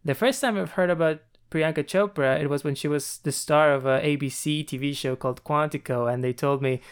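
Smooth-voiced podcast host. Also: mid-range voice, fairly quick. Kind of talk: scripted podcast intro and interview.

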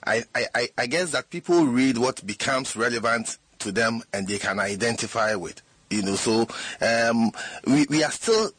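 A man's voice: on the low side, a bit bright, husky. A man speaking as a panel guest on a radio talk show, hesitant and repeating words as he thinks aloud.

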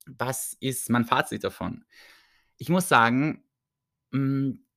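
A man's voice: mid-range voice, slow pace, gravelly, pushed and bright, no echo, clean studio sound. A man with an Austrian accent hosting a solo podcast, chatty and self-mocking, laughing often as he rambles. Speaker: podcast host. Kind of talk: casual solo podcast chat.

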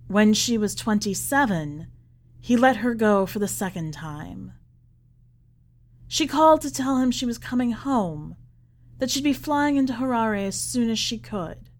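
A woman reading literary fiction aloud as scripted narration, with measured, deliberate phrasing. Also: a little high, expressive. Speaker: podcast host reading a short story.

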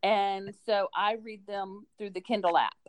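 A woman's voice: medium pitch, steady tone, unhurried, slight background noise. A woman chatting casually and enthusiastically about books as a school librarian on a podcast. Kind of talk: casual book recommendation chat.

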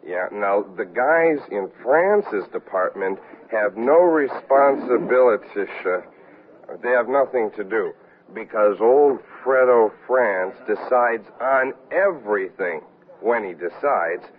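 A man's voice: lowish voice, animated, takes it slowly, a little hiss or hum. An actor playing a tipsy, disgruntled company man who rambles and confides sardonically in a 1970s radio comedy. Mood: amused, gossipy. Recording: thin, phone-like vintage radio recording.

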